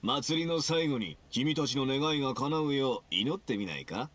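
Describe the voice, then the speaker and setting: A man's voice: mid-range voice, expressive, normal speed, very noisy, close to an everyday voice. A man voicing a friendly festival stall keeper in an anime game, calling out with polite vendor patter. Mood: inviting. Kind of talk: anime video game voice acting.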